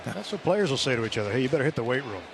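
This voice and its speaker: A man giving relaxed radio color commentary on a baseball game, explaining with casual fillers. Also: mid-range voice, very fast, gravelly. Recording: radio broadcast with loud ballpark noise.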